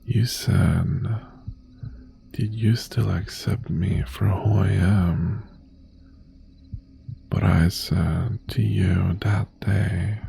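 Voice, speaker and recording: A man playing an obsessive, possessive mafia boss ex-boyfriend in an intimate ASMR roleplay, half-whispering in a breathy, gravelly voice with teasing menace and long pauses. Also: fairly low pitch, warm tone, heavily acted. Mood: quietly menacing.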